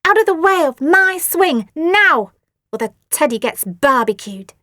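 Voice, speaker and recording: A woman voicing a bratty, cruel girl who snarls shrill threats and orders. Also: takes it slowly, no room sound, studio quality. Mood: snarling.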